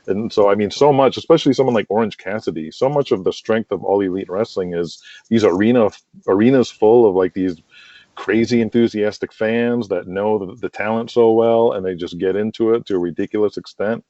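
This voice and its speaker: Low-voiced, mellow man chatting casually. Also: fairly quick, no room sound. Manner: chatty, laughs often. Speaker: podcast guest, wrestling promoter. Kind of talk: casual conversational interview. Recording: muffled lo-fi call audio.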